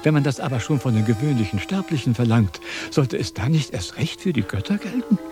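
An actor playing a self-important, wordy wandering philosopher in a declamatory, quibbling radio drama style. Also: male voice, mid-range voice, big pitch swings, a little fast, over music, natural voice. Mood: pompous reasoning. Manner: declamatory, pompous, sophistical. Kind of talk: theatrical German radio drama acting.

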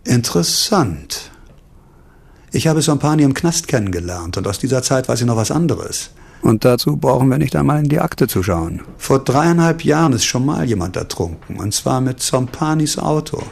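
An actor playing a bitter, sardonic, broke ex-doctor in a radio crime drama, blunt and world-weary. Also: male voice, mid-range voice, sing-song, normal speed, creaky, a little mellow, slightly rough, full character voice. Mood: dry.

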